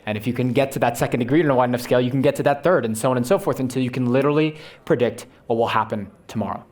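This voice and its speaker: A young man, a startup founder on a conference panel, talking quickly and eagerly, often tagging his points with "right?". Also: medium pitch, darkish tone. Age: young adult. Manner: rapid, punctuates with "right?". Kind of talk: fast, enthusiastic pitch talk. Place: conference stage before an audience.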